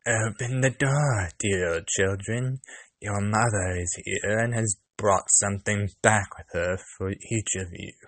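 A man voicing a sly, menacing storybook wolf with a deliberate, drawn-out delivery. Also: on the low side, fairly even intonation, fairly bright tone, dry recording. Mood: coaxing. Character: sly, menacing male wolf, a deceitful predator.

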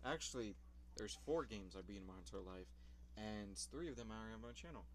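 A young man talks loosely and off the cuff, his voice sitting a little on the low side. He rambles from one thought to the next, swears casually and laughs at himself as he goes. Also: lively intonation, a little fast, slight background noise. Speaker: YouTuber reviewing his old videos. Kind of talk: casual rambling vlog commentary.

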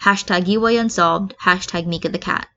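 A woman's voice: fairly low pitch, moderate pace, sharp tone. A woman reading fan fiction aloud as an amateur narrator, with a slightly muffled, lo-fi recording. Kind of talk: expressive amateur story narration.

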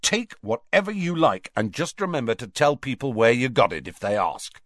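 A man voicing an enthusiastic, cheeky young shop owner from a storybook, with brisk, emphatic sales patter and a teasing big-brother air. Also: medium pitch, sing-song, fairly bright tone, no echo, clean studio sound. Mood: generous, firm.

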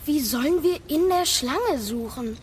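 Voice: high voice, expressive, unhurried. An actor playing an eager, curious young boy, a warrior's son, in a game-based audio drama. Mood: curious, doubtful. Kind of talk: dramatic audio play acting of a video game. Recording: loud noise behind the voice.

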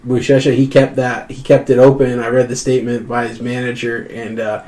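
Man, podcast co-host, tired, relaxed, profane, casual sports chat. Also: medium pitch, brisk. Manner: laid-back, profane, laughs often. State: tired after training.

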